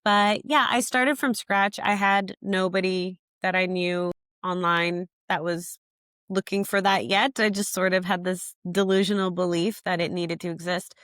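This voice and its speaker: Soft-spoken young woman, dry close sound. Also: lowish voice, fairly even intonation, measured pace, clean tone, dry recording. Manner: thoughtful, frequent "like" fillers, quotes her own thoughts. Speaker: app founder, guest. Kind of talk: reflective interview answers.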